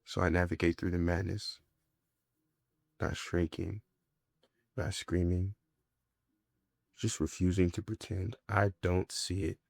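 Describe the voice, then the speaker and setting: Deep-voiced man delivering a reflective monologue. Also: little pitch movement, vocal fry, darkish tone, no echo, clean studio sound. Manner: measured, deliberate, reflective, with short weighted phrases. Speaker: monologue performer. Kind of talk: scripted spoken-word monologue.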